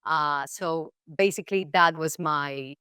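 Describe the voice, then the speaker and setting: A woman's voice: low voice, a little slow, pushed and bright, smooth, dry recording, clean studio sound. A Colombian woman whose first language is Spanish, speaking English with a Colombian accent, reflecting as an interview guest with many fillers and restarts. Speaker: guest actress. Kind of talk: casual interview chat.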